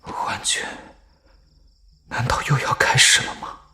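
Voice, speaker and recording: A man's voice: a little slow, pushed and bright, whispered. A man playing a wary young cultivator who leads a group, in a Chinese audio drama. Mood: uneasy. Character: wary young male cultivator, quietly troubled group leader.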